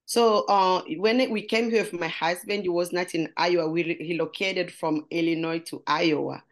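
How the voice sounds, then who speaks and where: A woman's voice: lowish voice, fairly even intonation, normal speed. A middle-aged woman from Congo with a Congolese French accent, telling her story in an interview and searching earnestly for English words. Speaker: guest. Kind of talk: interview conversation.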